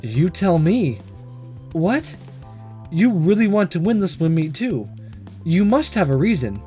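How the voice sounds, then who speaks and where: A let's player voicing a concerned high school student in a visual novel, plain and questioning, over game music. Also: sing-song, unhurried, dark tone. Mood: pressing.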